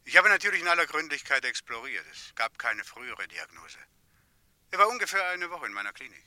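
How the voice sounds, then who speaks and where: An actor playing a self-assured, pedantic male psychiatry professor in a radio play, formal and deliberate. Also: medium pitch, sing-song, bright, forceful tone, no echo.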